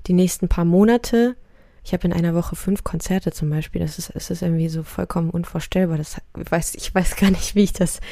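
A woman's voice: on the low side, warm tone, no echo, studio quality. A woman musician, a native Russian speaker, talking casually in an interview with many fillers and restarts. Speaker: musician guest.

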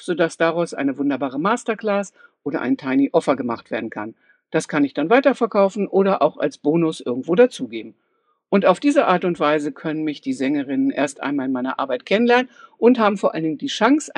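Lively, swooping woman in a dry recording. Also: sits low, no room sound. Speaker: voice coach for singers. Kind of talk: podcast tip monologue, enthusiastic.

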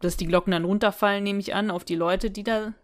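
A woman's voice: medium pitch, fairly even intonation, fast talker. A woman co-hosting a podcast in a casual chat, recapping a story and speculating aloud with frequent tag questions. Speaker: podcast co-host. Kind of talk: casual chat recapping and discussing an audio drama.

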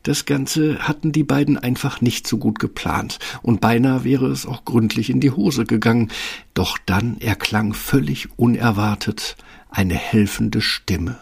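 A man's voice: medium pitch, a little slow, gravelly, slightly rough. A man narrating a children's fairy tale as a storybook narrator, with a wry, tongue-in-cheek touch. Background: music.

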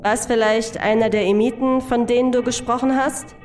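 A woman's voice: on the high side, steady tone, brisk, darkish tone, soft background music, close to an everyday voice. A woman playing a fierce, hot-tempered undercover agent in a German audio drama. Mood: tense, reporting.

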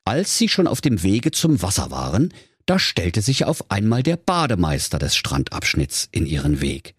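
A man's voice: deep voice, huge pitch swings, normal speed, breathy edge, no room sound, studio quality. A man narrating a comic fairy tale as a storyteller, in a dramatic storybook manner. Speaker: storyteller, podcast host.

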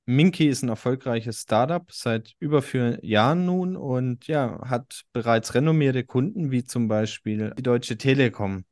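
A man's voice: mid-range voice, a little slow, few pauses, a little mellow, no echo. A man hosts a podcast interview with an enthusiastic, conversational manner. His voice sits mid-range. The recording is close and dry, with no room sound. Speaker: podcast host. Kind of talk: casual interview talk.

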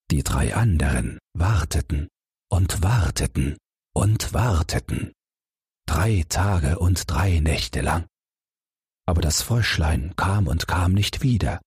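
Male audiobook narrator for bedtime fairy tales: deep, very husky voice, dry close sound. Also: no echo. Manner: calm, expressive storytelling. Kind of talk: bedtime fairy tale reading.

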